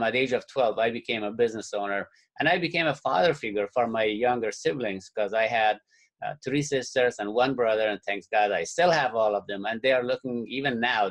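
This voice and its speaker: A man from Afghanistan telling his life story on a webinar call, earnest and halting with many fillers. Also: fairly low pitch, rough recording. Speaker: webinar guest speaker. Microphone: call or webinar audio.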